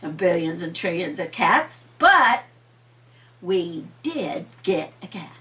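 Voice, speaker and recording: Woman playing a fretful little old storybook lady, swooping pitch. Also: very animated, slow pace, bright, forceful tone, slight background noise, heavily acted. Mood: fond. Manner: folksy, plaintive, emphatic.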